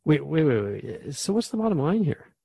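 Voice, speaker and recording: A man's voice: a little high, big pitch swings, moderate pace, creaky, warm tone, breathy edge, dry recording, full character voice. A man playing a curious radio host in a radio drama, asking thoughtful questions in a conversational, slightly hesitant way. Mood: questioning. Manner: inquisitive, conversational, hesitant asides. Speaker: radio host interviewing the Keeper.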